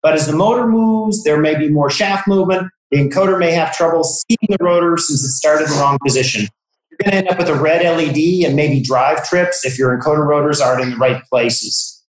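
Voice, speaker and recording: Man, higher-pitched voice, heavy room echo, technical demo commentary, step-by-step explanation. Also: brisk. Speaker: product expert from an encoder manufacturer. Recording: very echoey room.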